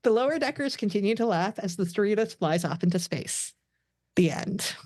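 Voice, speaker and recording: A woman's voice: mid-range voice, animated, moderate pace, darkish tone, dry recording, studio quality. A woman narrator reading scripted stage directions for a podcast table read.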